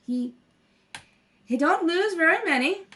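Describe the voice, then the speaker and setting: A woman acting a modest, flustered housemaid in a 1930s radio comedy, halting and guarded. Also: very high-pitched, lively intonation, bright, forceful tone, exaggerated voice. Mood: guarded, tender. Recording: faintly noisy home recording.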